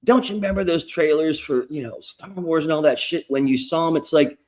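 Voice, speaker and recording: A man's voice: a little high, a little fast, darkish tone. Nasal, profane middle-aged man rambling. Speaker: podcast host. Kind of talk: casual livestream interview chat.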